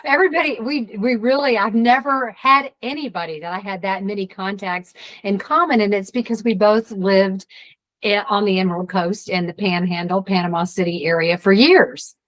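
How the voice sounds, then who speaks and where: A middle-aged woman, an executive trainer, talking as an interview guest, lively and quick to laugh. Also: mid-range voice. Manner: lively, laughs often, self-deprecating. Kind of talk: casual interview chat.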